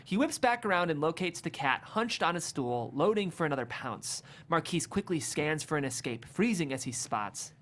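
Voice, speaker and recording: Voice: mid-range voice, lively intonation, normal speed, few pauses, a bit bright, a little hiss or hum. A narrator reading comedic screenplay stage directions aloud at a table read in a vivid, amused way.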